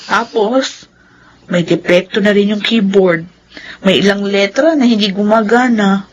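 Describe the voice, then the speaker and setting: An actress with a Filipino accent playing a patient, practical student older sister in a radio drama, conversational and mildly exasperated. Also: female voice, medium pitch, a little slow, highs cut off, a little hiss or hum, close to an everyday voice. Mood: reasoning.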